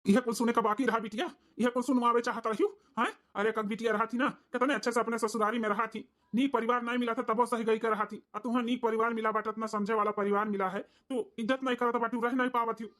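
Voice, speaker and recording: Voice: mid-range voice, steady tone, fast, hardly any pauses, fairly bright tone, lightly acted. A performer voicing a stern village father in an Awadhi cartoon comedy, lecturing reproachfully with an Awadhi accent. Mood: stern reproach. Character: stern village father, apologetic to in-laws, scolding his daughter.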